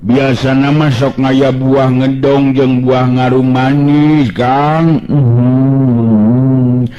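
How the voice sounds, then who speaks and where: A man's voice: mid-range voice, flat delivery, muffled recording, music in the background, pitched above the performer's own voice. A man doing a drowsy, drawling villager. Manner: drawling, slow and lazy. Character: sleepy, drowsy man craving fruit.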